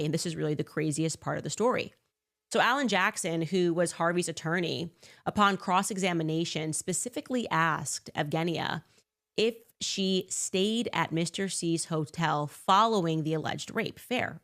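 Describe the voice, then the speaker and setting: A woman's voice: low-pitched, a bit bright. A woman giving a commentary monologue as a podcast host, with sarcastic, incredulous asides.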